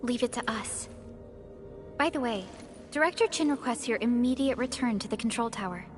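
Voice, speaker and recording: A woman voicing a composed, polite young supervisor in a video game, speaking in a gentle, formal, measured way. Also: fairly high pitch, lively intonation, soft background music, close to an everyday voice. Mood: calm, formal.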